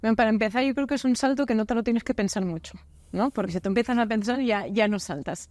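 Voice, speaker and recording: A woman's voice: a little high, fast talker, a little mellow, no echo. A woman from Spain, a business unit director, talking as a podcast guest in a friendly, candid, conversational way in a studio. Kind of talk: casual interview chat.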